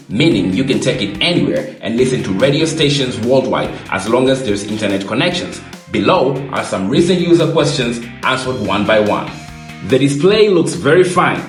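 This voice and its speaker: A man reading a scripted question-and-answer product explainer in a measured, explanatory way as a presenter. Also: medium pitch, animated, echoey room, faint music behind.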